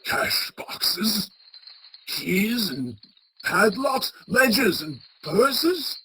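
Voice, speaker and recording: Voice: mid-range voice, sing-song, extremely slow, sharp tone, heavily acted. An actor playing a crotchety, frightened old male miser in a radio play, stammering and blustering as he speaks. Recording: clearly noisy track with ambience.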